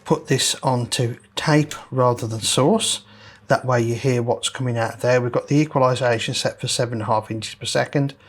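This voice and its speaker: A man's voice: medium pitch, steady tone, normal speed, hardly any pauses. A man hosting a repair video, casually explaining each step as he works through a hands-on demonstration. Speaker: host of a vintage audio repair video.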